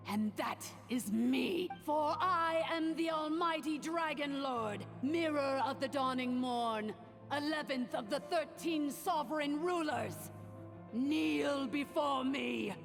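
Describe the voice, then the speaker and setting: A woman voicing an arrogant, cruel ancient dragon lord in a video game, speaking with pompous contempt. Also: sits high. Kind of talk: theatrical video game villain acting. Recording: long reverb, clear music behind.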